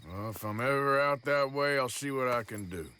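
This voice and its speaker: An actor playing a weary, sardonic, tough American outlaw in a video game, dry and commanding, with outdoor noise behind him. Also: male voice, mid-range voice, a little fast, bright, forceful tone, lightly acted. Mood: dry, subdued. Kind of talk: video game cutscene acting. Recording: outdoor ambience, horse hooves.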